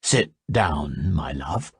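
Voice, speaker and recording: A man's voice: lively intonation, slightly rough, no room sound, clean studio sound, full character voice. Man doing a silky menacing envoy. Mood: silkily menacing. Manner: low, level, slow and silky. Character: smooth, menacing young male envoy.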